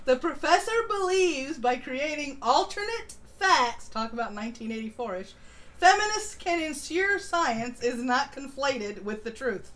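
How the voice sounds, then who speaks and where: A woman's voice: sits high, animated, a little slow, fairly bright tone. A woman co-hosting a casual political live stream, reading aloud and reacting in a mocking, sardonic way. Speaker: live-stream co-host reading an article and chat comments. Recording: faint background noise.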